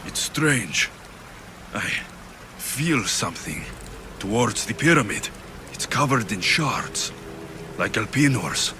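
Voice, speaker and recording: Brief: guarded male warrior, mid-pitched, musing tersely, clear noise behind him. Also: expressive, takes it slowly, long pauses. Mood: wary, pondering. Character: adult male warrior infiltrator, wary and terse. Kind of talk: video game acting.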